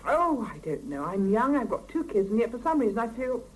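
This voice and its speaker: A woman's voice: fairly high pitch, very animated, brisk, breathy edge, rough recording. An actress in a 1970s radio drama playing a young, doubtful Soviet working mother with a hesitant manner, on an old cassette recording. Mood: unsure, embarrassed.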